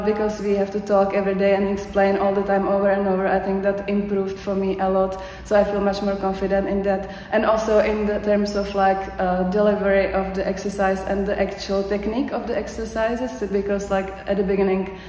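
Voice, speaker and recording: A woman's voice: medium pitch, completely flat delivery, fairly quick, hardly any pauses, darkish tone, a little hiss or hum. A young woman with a Czech accent giving a hesitant, unscripted testimonial, full of fillers and restarts. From Czech Republic. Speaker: course graduate giving a testimonial. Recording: echoey hall with faint background ambience.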